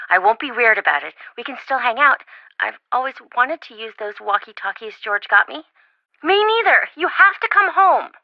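A woman's voice: a little high, no echo, highs cut off. A woman playing a chatty, flighty, well-meaning young best friend in a video game, rambling and blurting things out over-eagerly. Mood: concerned.

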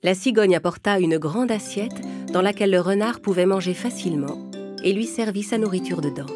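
A woman narrating a children's fable in a calm, clear storytelling manner. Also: mid-range voice, brisk. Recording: music playing under narration.

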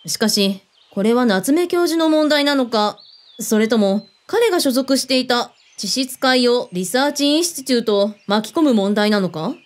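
A woman's voice: on the high side, animated, normal speed, lightly acted. A woman playing a shrewd, skeptical former agent in a radio drama, with terse, mannish phrasing and probing questions. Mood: serious, probing. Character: shrewd, skeptical female ex-agent in a protection business. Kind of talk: Japanese radio drama acting.

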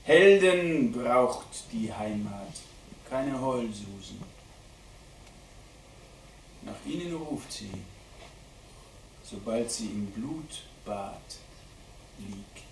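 Langsam deklamierender Mann bei einer Lyriklesung. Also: male voice, medium pitch, lively intonation, gravelly. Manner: declamatory, deliberate, with strong swings between hushed and loud delivery. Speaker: reader of poetry at a literary event. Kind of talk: live dramatic poetry reading. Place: indoor literary event venue at a theatre. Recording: roomy live hall recording, distant mic, faint noise.

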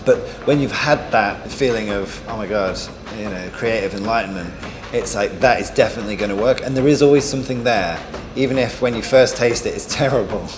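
A man in his thirties, a head chef, talking candidly in an interview and laughing at himself now and then. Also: mid-range voice. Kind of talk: reflective interview. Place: large bright restaurant dining room. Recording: reverberant room with clear background noise.

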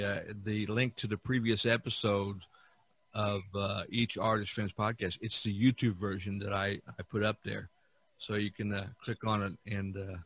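A man's voice: fairly low pitch, fairly bright tone, dry recording. A man hosting a podcast in a casual, rambling, folksy way. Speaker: podcast host. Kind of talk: casual chat.

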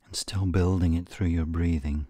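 A man guiding a meditation in a soothing, measured way, with pauses between short phrases and a soft, breathy voice that trails toward a whisper. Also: sits low, fairly even intonation, no room sound, clean studio sound. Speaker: meditation guide. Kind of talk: guided meditation narration.

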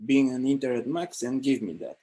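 A young man speaking as an intern on a panel over a video call, earnest, with pauses and restarts. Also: medium pitch, mellow, breathy edge. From Romania. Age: young adult. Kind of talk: panel talk.

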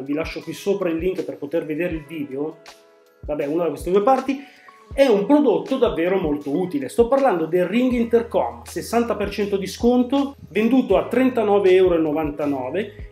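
Guy in his 40s, highish voice, chatty product explainer, echoey room. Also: dark tone. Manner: explanatory, conversational. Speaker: tech channel host. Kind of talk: casual product presentation to camera. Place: small room at home, indoors. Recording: echoey room, music underneath.

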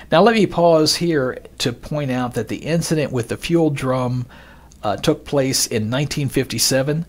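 Male podcast narrator, mid-pitched, measured and expository, reading from script and quoted sources.

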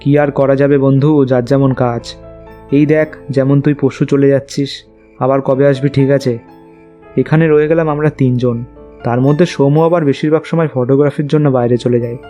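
A man's voice: medium pitch, fairly even intonation, brisk, dark tone, faint music behind, natural voice. A man playing a young, friendly, dutiful reporter in casual cartoon story dialogue.

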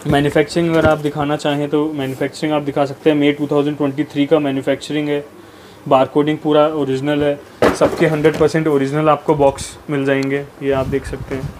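Brief: male wholesaler, fairly high pitch and monotone delivery, noisy warehouse behind. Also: fast talker. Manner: flat, steady delivery, lists brands and prices. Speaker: wholesale business owner. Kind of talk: sales pitch.

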